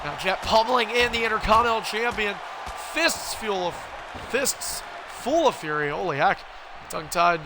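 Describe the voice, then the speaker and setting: A man with a cold, sounding hoarse, hosting a game livestream and calling the action play-by-play like a wrestling announcer. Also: high voice, expressive, moderate pace, background noise. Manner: wrestling-announcer calls, rising and punchy. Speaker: stream host and commentator.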